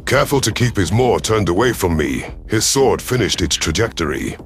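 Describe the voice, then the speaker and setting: A man reading dramatic first-person science fiction narration in a measured manner. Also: lowish voice, animated, faint music behind. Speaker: audio story narrator.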